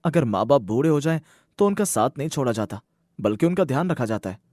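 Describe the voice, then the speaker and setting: A man's voice: mid-range voice, animated, fast talker, darkish tone, no echo, clean studio sound, lightly acted. A man voicing an earnest, dutiful grown son and exasperated husband, speaking emphatically in a Hindi moral story cartoon. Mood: earnest, concerned.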